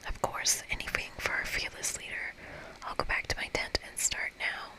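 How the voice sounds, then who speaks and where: An ASMR reader voicing an overeager, intense female game monster in a breathy whisper. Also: normal speed, natural voice, rough recording. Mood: excited, earnest. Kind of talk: visual novel game dialogue read as ASMR.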